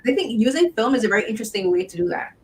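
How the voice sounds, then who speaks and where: A woman's voice: on the high side, animated, rapid-fire, a little hiss or hum. A woman hosting a podcast interview, chatty and enthusiastic with lots of fillers. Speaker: podcast host. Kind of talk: casual interview.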